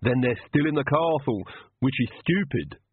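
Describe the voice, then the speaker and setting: A man's voice: mid-range voice, expressive, normal speed, breathy edge, no room sound, pitched above the performer's own voice. A man voicing a blunt, sensible young woman witch who sounds exasperated. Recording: tinny phone-like dry sound.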